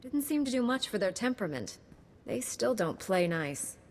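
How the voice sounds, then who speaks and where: A woman voicing a composed, resolute knight in a video game cutscene, measured and confident. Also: a little high, big pitch swings. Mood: cool, wry. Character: composed, resolute young female Jedi knight.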